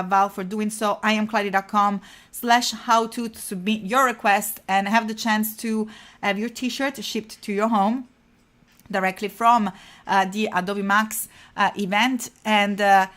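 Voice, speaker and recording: A woman's voice: medium pitch, a bit bright. A woman from Italy with an Italian accent, hosting a live design tutorial and chatting with viewers, with many fillers as she talks. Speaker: livestream design instructor. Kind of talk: casual live tutorial chat.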